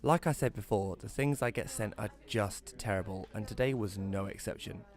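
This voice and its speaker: A man plays a wry British columnist narrating his own audio drama. His voice is on the low side, conversational and a bit grumbling. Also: normal speed, natural voice. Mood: wry narration. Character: wry, grumbling young male advice columnist. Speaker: narrator and lead character.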